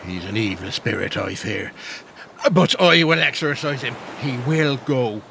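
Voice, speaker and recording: A man's voice: mid-range voice, expressive, unhurried, creaky, pushed and bright, slight background noise, exaggerated voice. An actor playing a confident, pious village priest in an audio drama, speaking in a formal, fatherly way. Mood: resolute.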